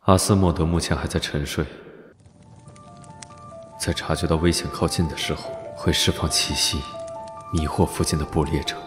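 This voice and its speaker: A man voicing a possessive, scheming young duke in an animated drama, controlled and teasing. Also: extremely low pitch, moderate pace, long pauses, faint music behind. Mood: musing, intent. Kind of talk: Chinese animated drama acting.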